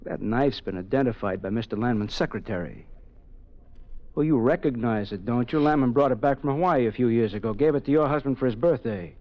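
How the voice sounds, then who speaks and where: An actor playing a blunt, skeptical homicide detective in a 1940s-style radio drama, curt and dry. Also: male voice, medium pitch, fairly quick, natural voice. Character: blunt veteran homicide cop. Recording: dull old radio recording.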